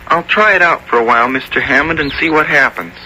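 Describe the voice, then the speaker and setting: An actor in a 1970s radio drama playing an earnest, hesitant boy who loves nature, with a halting, stammering delivery. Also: fairly high pitch, sharp tone, slight background noise, rough recording. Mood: earnest, nervous. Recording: old radio broadcast with music.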